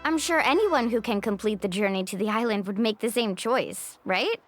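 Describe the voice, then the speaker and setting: A woman playing an upbeat, friendly young island guide in a video game, warm and confident in manner. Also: medium pitch, moderate pace, natural voice. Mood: friendly, sincere. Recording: music behind.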